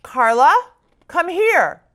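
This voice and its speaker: A woman's voice: very high-pitched, big pitch swings, drawn-out words, fairly bright tone, pitched above the performer's own voice, full character voice. A woman voicing a kindly old grandmother in a Christmas storybook, affectionate and comforting. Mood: welcoming.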